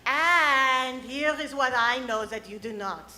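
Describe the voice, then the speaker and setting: High-voiced gloating French mad scientist. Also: female voice, slow pace, bright, forceful tone, full character voice. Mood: smug, sly. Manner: theatrical, gloating, punctuated with French exclamations. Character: gleeful scheming French mad geneticist villain. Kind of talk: comic pulp sci-fi radio play acting. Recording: live stage radio performance.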